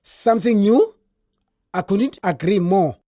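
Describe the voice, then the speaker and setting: A woman's voice: medium pitch, expressive, measured pace, warm tone, dry recording, clean studio sound. A woman playing a restless fire spirit in a children's folktale audio drama, with a declamatory, emphatic delivery. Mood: eager. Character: restless, easily bored female fire spirit.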